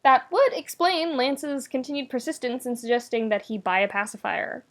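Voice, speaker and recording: A woman with a fairly high voice narrates a story like an audiobook. Her delivery is wry and conversational, leaning on key words for emphasis. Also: expressive, normal speed. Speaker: podfic reader, narrator. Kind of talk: scripted narration of fan fiction, expressive audiobook reading.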